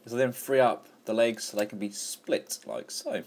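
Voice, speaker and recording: A man's voice: mid-range voice, fairly even intonation, normal speed, a little mellow. An enthusiastic man chatting casually through a toy review, full of fillers and restarts. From England.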